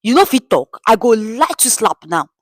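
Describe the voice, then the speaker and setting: A woman's voice: fairly high pitch, big pitch swings, normal speed, no room sound, studio quality. A woman voicing a menacing male street thug in a story, barking threats with a Nigerian accent. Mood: threatening.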